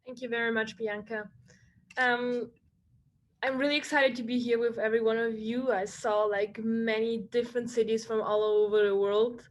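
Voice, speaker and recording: A woman's voice: a little high, fairly even intonation, moderate pace. A young woman from Ukraine, a student leader, presenting eagerly over a video call with frequent restarts. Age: young adult.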